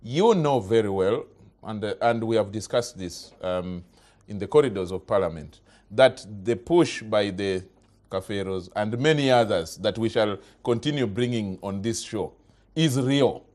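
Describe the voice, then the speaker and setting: A man's voice: fairly low pitch, lively intonation, unhurried, a little mellow. A man hosting a political talk show, pressing a guest with repeated questions. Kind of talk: probing interview questions.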